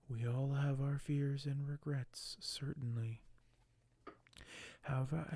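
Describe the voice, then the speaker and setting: A man doing ASMR in a soft, hushed, often whispered voice, halting and unhurried as he shows items and reads aloud close to the microphone. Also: mid-range voice, no room sound. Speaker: ASMR video creator. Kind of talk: ASMR unboxing and reading aloud.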